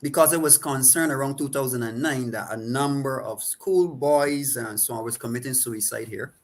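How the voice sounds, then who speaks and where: A man in his forties with a strong Barbadian accent, speaking as an interview guest at a middle pitch. He answers reflectively, often restarting his sentences as he gathers his thoughts. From Saint Vincent and the Grenadines. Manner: reflective, halting with repeated restarts. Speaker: counselor and guest.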